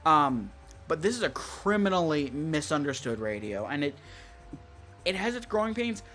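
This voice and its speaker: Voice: medium pitch, big pitch swings, moderate pace, a bit bright, soft background music. An American stream co-host chatting casually and in technical detail, rambling through features with firm opinions. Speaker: stream co-host, weather radio collector.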